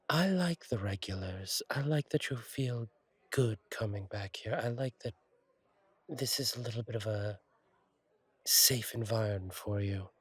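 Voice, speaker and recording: Husky, low-voiced friendly angel bartender played by a man. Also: no echo. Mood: caring, relieved. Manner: reassuring, chatty, wryly playful, slightly formal wording. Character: friendly, attentive male fallen-angel bartender with gentle wry humour. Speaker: bartender character in audio roleplay. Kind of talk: intimate one-sided roleplay audio acting.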